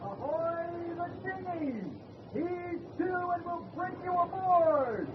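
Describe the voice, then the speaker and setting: An actor playing an eerie ghost ship sailor in a radio horror drama, calling out in drawn-out shouts. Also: male voice, very high-pitched. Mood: hailing. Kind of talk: old-time radio horror acting. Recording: distant, muffled voice under loud noise.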